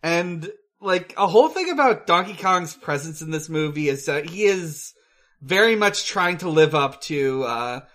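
A man with a slightly high voice talks slowly and casually as a podcast co-host. He recaps a film haltingly, often pausing to search for the next word. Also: expressive, fairly bright tone. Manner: halting, hesitant, searching for words.

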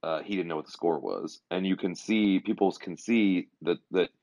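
A man with a hoarse, extremely low voice, chatting loosely as a podcast co-host. He stumbles through his sentences with plenty of fillers, and the recording is dry and close. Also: darkish tone, dry recording. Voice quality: hoarse, halting with many fillers. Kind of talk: casual chat.

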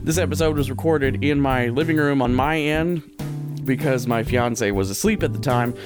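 A man's voice: mid-range voice, moderate pace. Jokey male podcast host at home. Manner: jokey, playful, rambling asides. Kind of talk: casual podcast chat. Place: living room at home. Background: radio sound effects and music.

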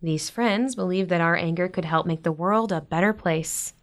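A woman playing a warm, gently teasing middle-aged mother in a naturalistic audio drama, giving earnest advice. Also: on the low side, moderate pace, lightly acted. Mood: earnest, reassuring.

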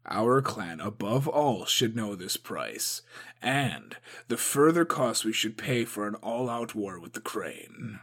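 A man voicing a stern old male samurai who growls his words gruffly. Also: lowish voice, lively intonation, slow, fairly bright tone, heavily acted.

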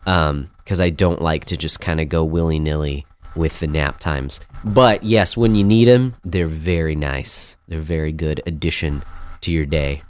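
A man's voice: very deep voice, unhurried, darkish tone. A man with a cold and a nasal voice, co-hosting a casual podcast chat and rambling with lots of fillers and restarts. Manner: rambling, self-deprecating, many fillers and restarts. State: has a cold. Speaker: podcast co-host.

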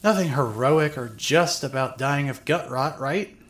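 Woman acting a tipsy, swearing young woman, very animated. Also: very deep voice, unhurried. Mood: bitter, reckless. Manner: rambling, drunken hesitations, sarcastic swearing. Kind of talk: first-person dramatic narration of a fan audio drama.

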